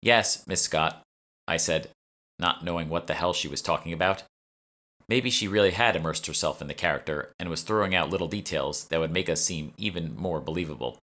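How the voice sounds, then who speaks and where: A man's voice: low-pitched, fairly quick, a bit bright. A man reading first-person fiction as scripted audiobook narration, as the author of the book.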